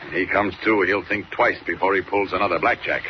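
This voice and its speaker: Casting: man to play a confident caped hero for a vintage radio serial, low and commanding, heard through heavy old-broadcast noise. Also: steady tone, brisk, close to an everyday voice. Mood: brisk, satisfied. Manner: commanding, talks to himself while acting. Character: bold caped superhero, confident and wryly amused. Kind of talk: 1940s radio acting. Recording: muffled, noisy old radio recording.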